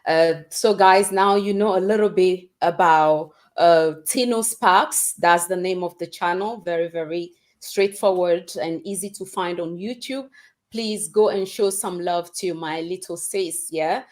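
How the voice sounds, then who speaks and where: A woman's voice: fairly low pitch, a little slow. A woman hosting a livestream interview, speaking in an enthusiastic, encouraging way. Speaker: livestream host.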